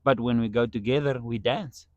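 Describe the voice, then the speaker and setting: A man whose first language is Afrikaans speaks in a casual, earnest tone with playful asides. His voice sits at an ordinary middle pitch. It is recorded close and dry, with no room sound. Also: steady tone, normal speed, a little mellow, no room sound, lo-fi sound. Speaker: podcast guest. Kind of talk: casual chat.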